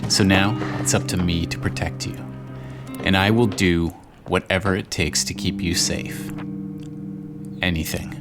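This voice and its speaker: A grave, weary father speaks his wartime journal in a low voice, acted by a man for a game dramatization. The delivery is solemn and music plays clearly underneath. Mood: grim resolve. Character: Christo, weary war-torn father writing a journal. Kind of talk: dramatized first-person journal monologue.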